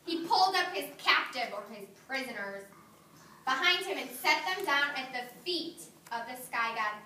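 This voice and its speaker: A woman kindergarten teacher reading a story aloud to her class, animated, praising and asking the children questions. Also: sits high, moderate pace, slight background noise. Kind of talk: read-aloud to young children. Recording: echoey classroom, mic far off.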